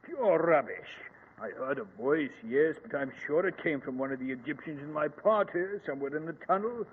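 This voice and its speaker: Self-assured gentleman archaeologist in muffled radio drama played by a man. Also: fairly high pitch, expressive, natural voice. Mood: scoffing, assured. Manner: brisk, scoffing, self-assured. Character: confident, dismissive English gentleman archaeologist. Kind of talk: old-time radio drama acting. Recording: muffled, reverberant, hissy old radio recording.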